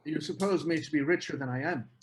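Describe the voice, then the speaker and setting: A man reading a charming, evasive young author at a table read, courteous and smooth. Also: fairly high pitch, fast, a little hiss or hum, close to an everyday voice.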